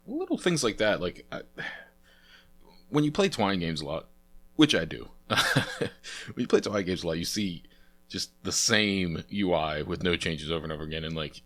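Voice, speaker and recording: A man's voice: low-pitched, fairly bright tone. A young man with a stuffy, sniffly nose from a cold, casually commenting while playing through games as host and rambling with many fillers. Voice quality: sniffly, congested. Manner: chatty, rambling, lots of uh fillers. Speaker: let's play host. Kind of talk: casual game playthrough commentary.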